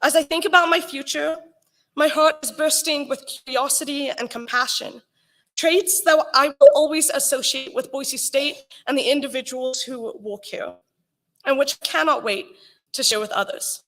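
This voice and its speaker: A young woman, a graduating student, reading a prepared speech in an earnest, measured way. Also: sits high, fairly even intonation, a bit bright, low-quality recording. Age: young adult.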